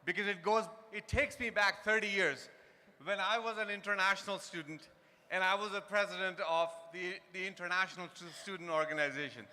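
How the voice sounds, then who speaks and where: Halting man with a bright, high voice in a big hall. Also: fairly even intonation. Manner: halting, repeating words, hesitant fillers. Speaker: university administrator giving a speech. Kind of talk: impromptu stage speech. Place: large event hall on stage. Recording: reverberant live hall.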